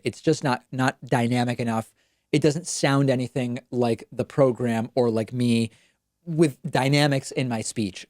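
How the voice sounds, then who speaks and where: A man from Argentina whose first language is Spanish hosts a political talk show. He speaks conversationally at a middle pitch and thinks aloud as he goes. The sound is dry and close. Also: a little mellow, no echo. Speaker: host.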